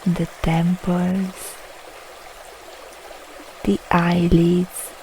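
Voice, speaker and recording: A woman guiding a yoga nidra relaxation meditation, speaking in soft, soothing, unhurried short phrases with long pauses. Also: lowish voice, completely flat delivery, a little hiss or hum. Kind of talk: slow guided relaxation meditation.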